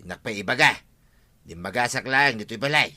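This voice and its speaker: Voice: medium pitch, animated, fairly quick, bright, forceful tone. An actor playing a suspicious, grumbling village neighbour in a comedy radio drama, with an Ilocano accent. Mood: grumbling, informing.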